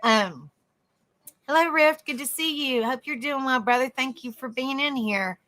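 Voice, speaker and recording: A woman hosting a casual livestream, chatting softly with viewers by name and reading notes aloud. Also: high voice, animated, unhurried. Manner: soft, easygoing, chats with viewers by name. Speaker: livestream host.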